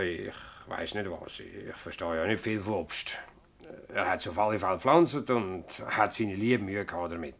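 A man's voice: lowish voice, fairly bright tone. A man acting an agitated, evasive village mayor in a radio play, hedging and emphatic, with a Swiss German accent. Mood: reluctant. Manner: hedging, emphatic, halting when pressed. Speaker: actor in a dialect radio play. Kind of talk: dialect radio drama acting.

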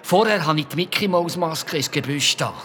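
Highish Swiss German man, sheepish caretaker. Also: lively intonation, normal speed, sharp tone, a little hiss or hum. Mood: sheepish, confessing. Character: sheepish male museum caretaker confessing to theft.

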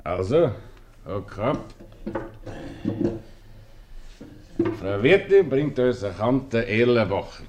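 Curt, stubborn Swiss husband. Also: male voice, mid-range voice, very animated, a little slow, dull audio. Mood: gruff, ordering. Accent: Swiss German. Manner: curt, contrary. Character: stubborn, thrifty husband bickering with his bossy wife. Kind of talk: 1950s radio play comedy acting. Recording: slightly noisy old radio recording.

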